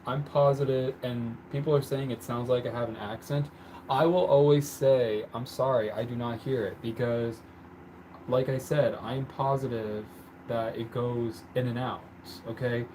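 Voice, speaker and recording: A man's voice: mid-range voice, darkish tone, slight background noise, rough recording. A man casually chatting on his livestream while he paints, rambling with lots of fillers. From born in the country where he lives. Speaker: livestream host. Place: indoors at home, painting on the floor.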